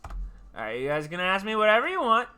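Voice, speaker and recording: Bright, higher-pitched man rambling to viewers. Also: clean tone. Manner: animated, halting, repeats phrases. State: tired. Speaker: livestream host. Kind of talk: casual rambling chat to viewers.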